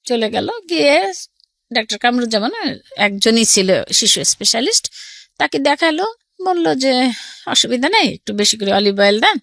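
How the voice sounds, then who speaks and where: High-pitched, animated middle-aged Bangladeshi woman. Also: moderate pace, pauses often, no echo, clean studio sound. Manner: animated, quotes people's words as she recounts. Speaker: guest telling her life story. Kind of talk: personal storytelling in an interview.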